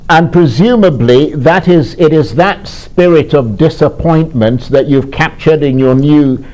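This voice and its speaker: A man's voice: on the high side, measured pace, dark tone, poor audio. A man interviewing a musician, asking long, winding questions with restarts.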